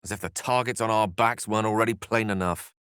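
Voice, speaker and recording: An actor playing an erudite, wry and courteous wizard in a video game, with eloquent, precise, theatrical phrasing. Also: male voice, fairly low pitch, fairly even intonation, quick pace, fairly bright tone, smooth, dry recording, studio quality, natural voice. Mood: grave. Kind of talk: video game character acting.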